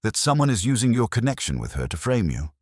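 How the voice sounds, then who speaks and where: A man voicing a calm, courteous police inspector who questions gently and persistently in an audio mystery. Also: on the low side, quick pace, dry recording, clean studio sound, pitched above the performer's own voice. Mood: gentle probing.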